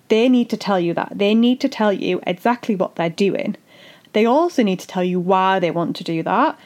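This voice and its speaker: A woman with a husky, fading voice, hosting an educational podcast and explaining things earnestly, step by step. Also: mid-range voice, darkish tone. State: husky voice after illness. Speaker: podcast host and doula. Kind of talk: solo educational podcast talk.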